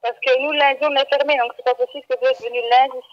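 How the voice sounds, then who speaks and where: A woman with a high voice takes an unscripted customer call as a shop employee. She is blunt and a bit flustered, often repeating herself. The phone line makes her sound dull and muffled. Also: steady tone, fast. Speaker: bakery employee. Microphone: telephone.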